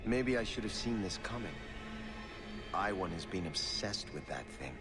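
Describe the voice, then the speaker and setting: Casting: young fantasy merchant's son, lowish pitch, anxious pleading, clear music bed. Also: male voice, animated, moderate pace. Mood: dismayed. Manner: earnest, pleading. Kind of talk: video game cutscene acting.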